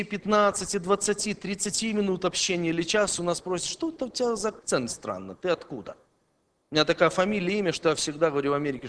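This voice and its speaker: Emphatic male preacher, higher voice. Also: slight background noise. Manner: emphatic, rising and dropping in loudness. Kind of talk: sermon.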